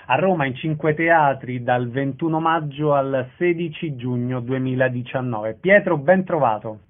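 A man's voice: medium pitch, normal speed. A man hosting an interview, putting prepared questions to a guest as a radio interviewer. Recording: narrow-band radio or phone sound.

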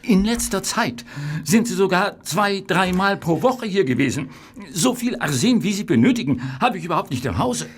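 Worried, earnest pharmacist, animated and high-pitched. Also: male voice, normal speed, a little hiss or hum. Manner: pleading, earnest, morally stern. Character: worried, conscientious male Victorian pharmacist. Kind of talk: German radio play acting.

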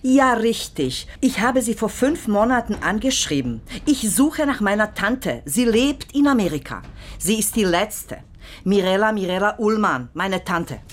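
A woman's voice: mid-range voice, expressive, measured pace. An actress playing an anxious woman in a radio drama, speaking in an urgent, hesitant, pressing way. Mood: anxious, insistent. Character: anxious, insistent woman searching for her lost aunt. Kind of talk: radio play dialogue.

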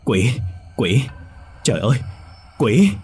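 A man's voice: deep voice, moderate pace, a little mellow, husky, faint music behind. A man voicing a terrified older woman who shrieks in panic about demons. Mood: shrieking terror.

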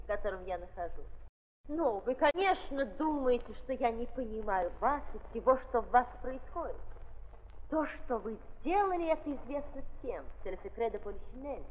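An actress playing a coquettish, gushing society lady in a theatrical 1930s radio drama, with affected speech sprinkled with French. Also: high voice. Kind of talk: 1930s Russian stage radio drama acting. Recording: muffled 1930s recording, hall reverb.

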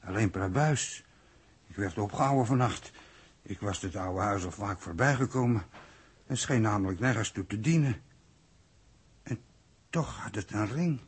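An actor in a 1960s radio drama playing an earnest, confused and submissive man from an underground city, speaking hesitantly in a husky, gravelly voice. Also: on the low side, lively intonation, moderate pace, pauses often. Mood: hesitant, puzzled. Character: thirsty, confused, submissive male city-dweller from a future underground society. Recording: old 1960s radio recording.

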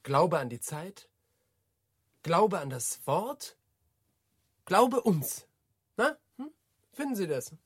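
An actor playing a wry man in radio drama conversation, husky-voiced, questioning and teasing. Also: high-pitched, sing-song, slow pace, pauses often, heavily acted. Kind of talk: naturalistic radio drama dialogue.

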